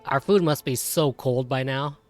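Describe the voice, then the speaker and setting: A woman's voice: very deep voice, a little slow, a little mellow. A woman giving casual, playful live commentary on a game playthrough in slangy, reactive speech. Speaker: gaming content creator. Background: game music.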